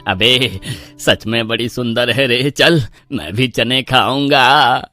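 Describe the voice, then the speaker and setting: An actor playing a greedy, excitable, cowardly village man in a cartoon horror story. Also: medium pitch, slow, faint music behind. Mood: eager. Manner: excitable, stammers when scared.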